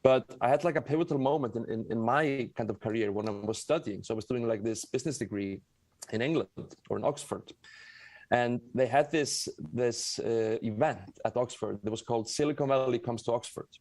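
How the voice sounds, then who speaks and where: Male founder as interview guest, Icelandic accent, mid pitch, rambling self-corrections, very dry recording. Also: a little fast, a little mellow, no room sound. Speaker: guest, tech company founder. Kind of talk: conversational interview storytelling.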